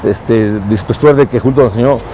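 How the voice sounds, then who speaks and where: A man being interviewed on a city street as a consul, talking slowly with many pauses and fillers. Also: mid-range voice, mellow, a little hiss or hum, rough recording. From Mexico. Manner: hesitant, many fillers and restarts.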